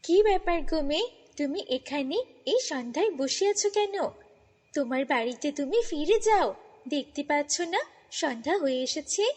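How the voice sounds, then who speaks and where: High-pitched kindly fairy acted by a woman. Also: animated, normal speed, a little mellow, in a large hall. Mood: concerned, curious. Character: kindly, beautiful fairy woman advising a child. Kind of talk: children's fairy-tale cartoon acting.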